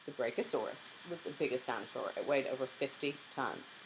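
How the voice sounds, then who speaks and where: A woman's voice: a bass voice, a little fast, slight background noise, rough recording. A woman narrating a children's storybook aloud expressively, like a teacher reading to young pupils.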